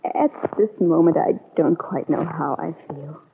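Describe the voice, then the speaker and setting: Bitter young niece, tinny vintage radio. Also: female voice, deep voice, unhurried, vocal fry, a little hiss or hum. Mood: bitter, resolute. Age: young adult. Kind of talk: old-time radio soap opera acting.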